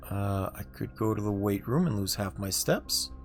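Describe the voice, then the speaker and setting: A man streams a game and talks it through in a relaxed, muttering way. His voice sits low and gravelly, with a fry on the ends of phrases. Soft game music runs behind him. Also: moderate pace. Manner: low-key, muttering to himself. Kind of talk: casual gameplay commentary.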